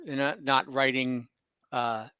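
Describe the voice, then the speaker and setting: A man's voice: medium pitch, fairly even intonation, slow, clean tone, no echo, muffled recording. A man narrating an improvised tabletop game casually as game master, thinking aloud and correcting himself, on a close mic over an online call.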